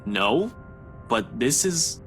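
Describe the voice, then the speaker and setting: A weary, sceptical young man in a visual novel. He speaks at a medium pitch, hesitant and conciliatory, over soft background music. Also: big pitch swings, drawn-out words, heavily acted, rough recording. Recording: game music behind.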